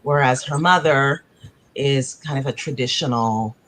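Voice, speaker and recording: A woman's voice: a bass voice, measured pace, a bit bright, slight background noise, rough recording. A woman playwright born in Africa and raised in the United States, speaking on a video-call panel in a thoughtful, searching way, often circling back to rephrase.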